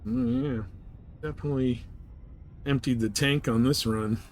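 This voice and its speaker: A man's voice: medium pitch, fairly even intonation, moderate pace, a little hiss or hum. A man giving casual, offhand commentary while streaming a video game, talking half to himself in short remarks.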